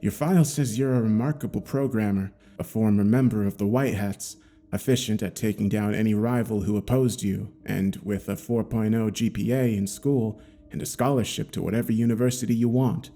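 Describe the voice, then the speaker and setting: Audio drama: male actor as blunt, skeptical investigator, low dark voice, music underneath. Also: fairly even intonation, a little fast, natural voice. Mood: incredulous. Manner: blunt, incredulous. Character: blunt, skeptical bearded male cryptozoologist questioning a suspect.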